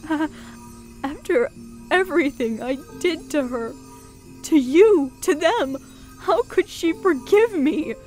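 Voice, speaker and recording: A woman playing a guilt-ridden pony queen in a radio drama, speaking in a halting, emotional way. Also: high-pitched, a little mellow. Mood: anguished, tearful. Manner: halting, emotional, anguished delivery. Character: grieving, guilt-ridden pony queen, gentle elder royal sister. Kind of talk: dramatic radio play acting.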